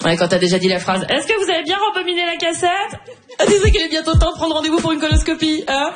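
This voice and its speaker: Woman, stand-up, high voice, rapid wry delivery, music behind. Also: lively intonation. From Switzerland. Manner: wry, self-mocking punchlines. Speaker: stand-up comedian. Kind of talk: stand-up set on stage.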